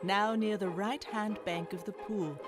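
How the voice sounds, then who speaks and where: A woman's voice: medium pitch, fairly even intonation, measured pace, over music, lightly acted. A woman acting as narrator, reading scripted storybook narration in a children's audio drama. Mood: calm.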